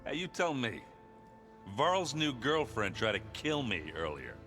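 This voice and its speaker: An actor playing a good-natured, ale-loving rogue in a video game, bluff and wry, with a hesitant, rambling way of talking. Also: male voice, mid-range voice, big pitch swings, a little slow, sharp tone, soft background music. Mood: wry, aggrieved.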